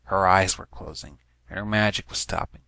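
A man's voice: sits low, fairly even intonation, normal speed, creaky, pushed and bright, smooth, no echo. A man narrating a written story, reading it aloud as scripted prose.

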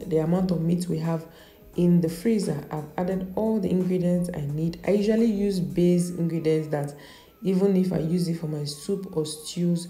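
A woman's voice: fairly low pitch, dark tone, echoey room. A woman, a mother, chatting casually about her meal prep at home in her kitchen, with many fillers and restarts. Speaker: cooking vlogger.

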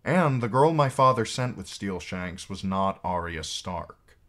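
A man voices a maimed knight commander from a fantasy novel. He is blunt and bitter, with a fairly low voice and a dry, sardonic air of command. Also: animated, unhurried, a bit bright. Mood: wry, cynical.

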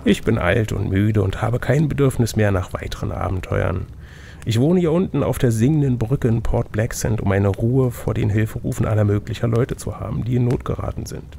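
Gamebook role: tired reclusive old wizard, male reader, calm and measured, gravelly, slight background noise. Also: fairly low pitch. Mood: weary, calm. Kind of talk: gamebook read-aloud.

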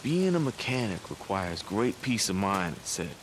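An actor playing a chatty, evasive camp mechanic in a video game, rambling in a folksy way. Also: male voice, low-pitched, very animated, moderate pace, noisy background. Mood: easygoing, philosophical. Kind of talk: naturalistic cinematic game acting.

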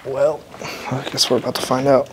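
A man narrating a walk through an abandoned building, calmly pointing things out as he goes. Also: a little fast, noisy background. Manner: low-key, observational, thinking aloud. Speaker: urban explorer host. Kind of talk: walking vlog narration.

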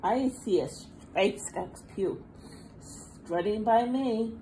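A woman reading a picture book aloud to young children in an expressive, sing-song manner, recorded indoors at a table. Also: mid-range voice, takes it slowly, poor audio. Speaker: children's storybook reader. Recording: faint background room noise.

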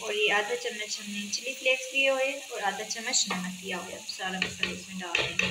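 A woman's voice: mid-range voice, brisk. Woman demonstrating cooking in an echoey kitchen. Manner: calm, instructive, often ends steps with a check-in. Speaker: home cooking channel host. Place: home kitchen, indoors. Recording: echoey room with sizzling noise. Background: frying and sizzling from the pan.

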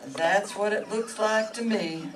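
An actor playing a grave, matter-of-fact doctor with measured, formal speech in a stage radio mystery. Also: medium pitch, natural voice. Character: grave, matter-of-fact doctor who sees through lies. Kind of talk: radio mystery acting before a live audience. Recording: distant mic, faint room noise.

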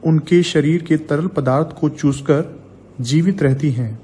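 Slow, mellow man reading instructions. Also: on the high side, a little hiss or hum. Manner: slow, even reading with formal Hindi wording. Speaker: narrator of an agricultural training film. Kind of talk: scripted instructional voice-over.

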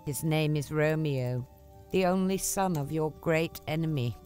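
A mature household nurse in a storybook drama. The voice is very low and slow, practical and cautioning, over quiet music. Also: female voice. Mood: grave.

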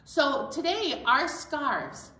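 A woman's voice: high voice, sing-song, very slow, bright, forceful tone. A middle-aged woman hosting a storytime for young children, explaining things simply and speaking to them directly. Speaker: children's library storytime host. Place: indoor library storytime room. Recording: roomy, distant mic, faint room noise.

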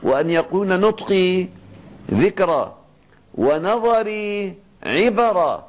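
An older man with a Levantine Arabic accent giving a Ramadan evening sermon in a mosque, speaking in a fatherly way with slow, emphatic repetition. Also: high-pitched, animated, low-quality recording. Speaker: sheikh. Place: mosque, seated at a pulpit desk. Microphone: two stand microphones on the desk.

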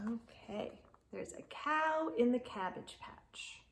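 A middle-aged woman hosts a children's storytime. Her voice is high and swings widely in pitch, with an expressive, sing-song delivery. She takes the words slowly, as if for very young listeners. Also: background noise. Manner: expressive, sing-song delivery with animal sounds and hushed asides. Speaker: children's storytime host reading aloud. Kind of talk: picture-book read-aloud for young children. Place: living room or home library with bookshelves.

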